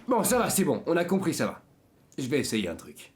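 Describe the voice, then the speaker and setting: A woman's voice: low voice, very animated, brisk, a little mellow. A woman joking casually in slangy, sweary talk. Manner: slangy, profane.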